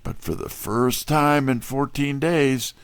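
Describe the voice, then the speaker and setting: A man playing a wry, smooth-talking private detective who narrates an audio drama. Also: medium pitch, steady tone, slow pace, no room sound. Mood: wry narration.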